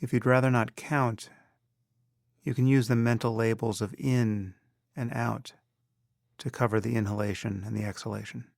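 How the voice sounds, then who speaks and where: A man leading a guided meditation as a teacher, in a measured, instructional manner with pauses between phrases. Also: medium pitch, level tone, vocal fry, a little mellow, no room sound, clean studio sound.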